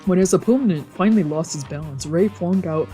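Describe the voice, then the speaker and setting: Excitable woman streamer reading aloud. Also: on the low side, brisk, soft background music. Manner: excitable, sweary. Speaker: let's play streamer. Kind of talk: visual novel read-aloud with casual reactions.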